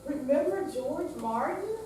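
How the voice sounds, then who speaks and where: Woman acting high-pitched, insistent grown daughter. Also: animated, a little slow, dark tone. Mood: impatient. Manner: earnest, coaxing, exasperated. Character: adult daughter, insistent, coaxing, caring toward ailing mother. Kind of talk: staged play reading. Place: senior center room, live staged reading. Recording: very echoey hall, distant mic, noisy.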